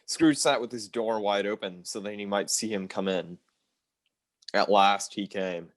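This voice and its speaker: Low-voiced man narrating a radio play. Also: steady tone, unhurried.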